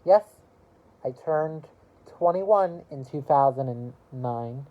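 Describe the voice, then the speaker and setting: A woman in her early twenties chatting casually to camera about her year, rambling and halting, with lots of "you know" fillers. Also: extremely low pitch, lively intonation, muffled recording. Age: early 20s. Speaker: vlogger.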